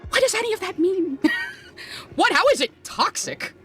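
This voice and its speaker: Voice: very high-pitched, sing-song, normal speed, a bit bright, faint music behind. A commentator talking casually in an exasperated, sardonic way, telling stories with animation. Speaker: video commentator.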